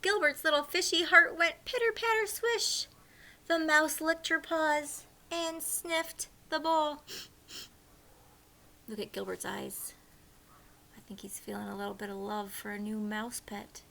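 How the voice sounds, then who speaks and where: A woman with a high, bright voice reads a picture book aloud to young children. She takes it slowly and expressively, mixing animated storytelling with hushed asides to her listeners. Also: creaky. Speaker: teacher reading aloud to children.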